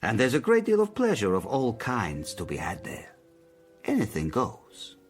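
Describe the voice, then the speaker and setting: An actor playing a fussy, courtly smuggler in a radio drama, old-fashioned, insinuating and elaborately polite. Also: male voice, mid-range voice, wildly swooping pitch, moderate pace, soft background music. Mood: smooth, insinuating. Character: fussy, courtly German smuggler visiting from West Berlin.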